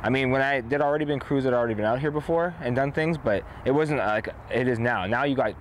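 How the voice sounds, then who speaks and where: A man speaking briskly and reflectively as an interviewee, outdoors in a city. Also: medium pitch, slight background noise. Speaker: hip hop interviewee. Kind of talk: casual interview talk.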